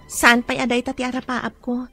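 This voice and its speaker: A woman's voice: a little high, monotone, moderate pace, bright, forceful tone, soft background music. An actress playing an earnest young female student with devoted dreams, in a radio drama. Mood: earnest, wistful.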